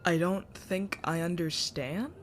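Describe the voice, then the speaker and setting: A man's voice: sits high, steady tone, takes it slowly, faint music behind. A man playing a naive, indignant, teasing nineteen-year-old prince in a fantasy audio drama. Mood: baffled, shocked.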